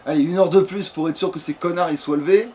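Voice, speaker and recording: A man's voice: on the high side, fairly even intonation, fast talker, dark tone, a little hiss or hum. A man giving casual, rambling let's play commentary, often swearing coarsely. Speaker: video game streamer.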